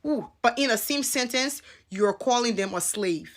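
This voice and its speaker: A woman's voice: on the high side, animated, normal speed. A woman in a casual social media video, exasperated and calling people out, using slang.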